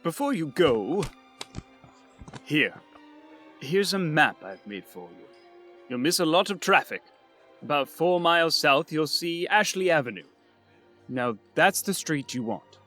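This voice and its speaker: A man plays a jolly old Santa figure in a radio play. His voice sits a little high and swings animatedly up and down, gentle, eager and grandfatherly. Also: big pitch swings, a little slow, pauses often, soft background music, heavily acted. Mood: gentle, tender.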